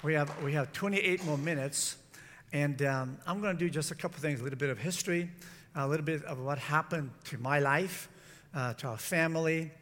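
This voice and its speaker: A middle-aged man from San Francisco preaching as a guest in an informal, halting, conversational way, often correcting himself. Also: on the high side, a bit bright. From San Francisco, United States. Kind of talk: informal church talk with personal testimony. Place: large room, church service. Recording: echoey hall with long reverb.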